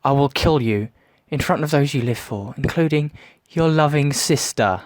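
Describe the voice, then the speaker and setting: A man's voice: a little high, normal speed, no room sound, natural voice. Man acting a taunting, theatrical villain, close and dry. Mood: gloating menace. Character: menacing theatrical male villain lurking in the shadows, gloating sadist. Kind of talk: scripted audio drama villain monologue.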